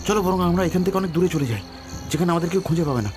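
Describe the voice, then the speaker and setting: A man's voice: sits high, rapid-fire, music in the background, close to an everyday voice. A man playing an obsessive, vengeful young servant and unhinged jilted lover in a melodramatic cartoon horror story. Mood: desperate, agitated.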